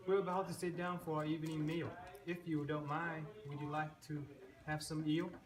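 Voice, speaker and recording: A man's voice: a little high, level tone, moderate pace, natural voice. A man playing a greedy, shrewd cottage sister who speaks in rhyme in an amateur puppet show. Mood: coaxing. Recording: noisy live room recording.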